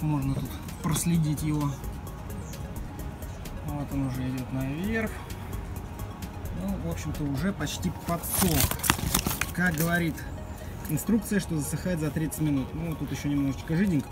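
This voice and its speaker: Man narrating van repairs over loud music. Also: a little high, moderate pace, pauses often. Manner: offhand, explains as he works. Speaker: vlogger. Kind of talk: casual DIY walkthrough narration. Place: inside a van parked outdoors. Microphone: phone camera held while working. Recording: phone audio with loud music underneath.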